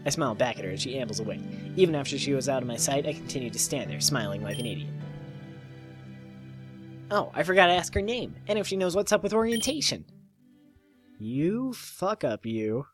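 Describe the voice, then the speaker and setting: A man's voice: mid-range voice, big pitch swings, a little fast, long pauses, over music. A man hosting a let's play, reading a visual novel aloud with jokey, irreverent asides. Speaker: let's play host and reader.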